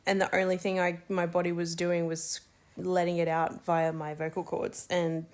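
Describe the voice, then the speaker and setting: A woman's voice: fairly low pitch, level tone, normal speed, darkish tone. A young woman, chatting candidly and laughing easily as she shares her birth story. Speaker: new mother sharing birth story. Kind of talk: casual chat.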